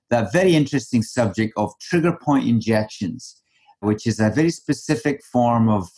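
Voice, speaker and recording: A man's voice: fairly low pitch, darkish tone. A Canadian man, a physician hosting his podcast, asking plain, friendly questions in a conversational way. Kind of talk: podcast interviewing.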